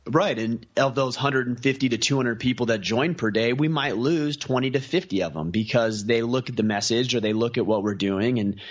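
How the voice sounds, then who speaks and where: A man's voice: medium pitch, moderate pace, few pauses, muffled recording. A man talking as a podcast guest in a casual interview, earnest and piling up lists of examples. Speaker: podcast guest, community founder.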